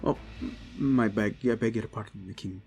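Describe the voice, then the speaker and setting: Man as a quiet, low-voiced knight captain. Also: moderate pace, gravelly, faint music behind. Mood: humbled, hesitant. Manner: hushed, hesitant, deferential. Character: humble, soft-spoken knight captain, awed and earnest. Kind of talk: fantasy audio roleplay acting.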